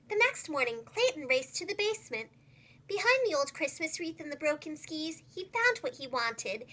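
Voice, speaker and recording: Animated, high-pitched woman reading a children's story. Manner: animated, carefully enunciated. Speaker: preschool teacher reading a storybook aloud.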